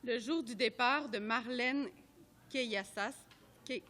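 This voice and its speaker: A woman reading a report aloud at a public event, in a measured, careful way that sometimes trips over names. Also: fairly high pitch, fairly bright tone. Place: art gallery at a live event.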